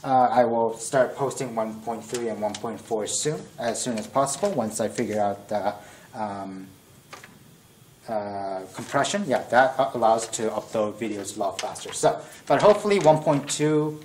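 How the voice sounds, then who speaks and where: A man's voice: mid-range voice, moderate pace, a little mellow. A young man working through a math problem at a whiteboard in a casual lesson, thinking aloud and correcting himself, his voice strained as if he is losing it. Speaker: math tutor. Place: classroom at a whiteboard. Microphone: camera-mounted mic at a distance. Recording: echoey room, distant camera mic, faint noise.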